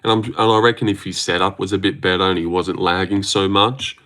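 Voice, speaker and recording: A low-voiced Australian man chats through a livestream, wandering from thought to thought and joking as he goes. His talk is loose and full of fillers and restarts. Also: level tone, fairly quick, bright, forceful tone. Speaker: livestream host. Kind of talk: casual livestream chat, reading out viewer comments.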